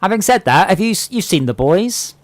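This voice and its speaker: A man with a southern English accent chatting excitedly and jokily with friends while playing a game online. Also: high voice, a little fast, dry recording. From England. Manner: chatty, excitable, jokey, tangents. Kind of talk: casual gaming chat.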